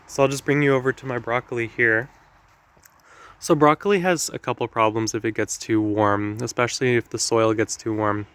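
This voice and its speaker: A man with a slightly raspy voice from allergies, talking casually and explaining things with lots of fillers as he walks through his garden. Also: medium pitch, fairly bright tone. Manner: chatty, explanatory, with frequent fillers. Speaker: gardening vlogger. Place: outdoor garden.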